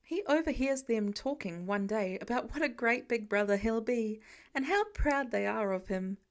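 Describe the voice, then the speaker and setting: A woman with a fairly high voice narrates a written story. She reads scripted fiction in the manner of an audiobook.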